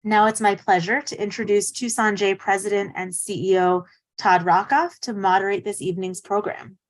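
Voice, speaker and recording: A woman's voice: medium pitch, steady tone, moderate pace, dry recording. A woman hosting a virtual event, reading welcomes and thanks in a friendly way over a video call. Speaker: event host.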